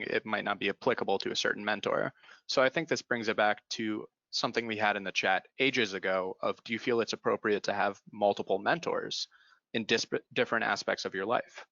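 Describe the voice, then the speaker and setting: A man's voice: lowish voice, gravelly, sharp tone, no room sound, dull audio. A man moderating a panel discussion, affirming speakers and inviting others to join in.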